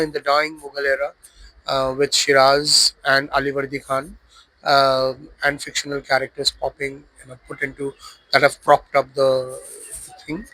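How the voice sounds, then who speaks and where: A man, an author describing his book in a hesitant, self-deprecating way with many pauses. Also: fairly high pitch, sharp tone, dry recording, poor audio. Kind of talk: casual book pitch.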